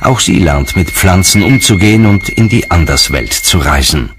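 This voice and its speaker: A man's voice: low voice, animated, moderate pace, very noisy. A man reading scripted voice-over narration for a documentary.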